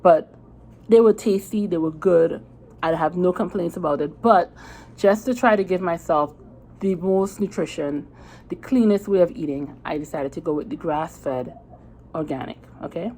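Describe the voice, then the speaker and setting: A woman's voice: on the low side, moderate pace, warm tone, slight background noise, poor audio. A woman with a Trinidadian accent chatting casually to her viewers, rambling a little with fillers and restarts. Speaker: vlogger. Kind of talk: casual chat to camera.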